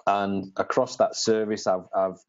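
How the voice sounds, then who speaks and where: Deep-voiced man in his forties, hesitant interview guest, muffled call audio. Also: flat delivery, a little slow. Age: 40s. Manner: hesitant, with many ums and repeated words. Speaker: police sergeant guest.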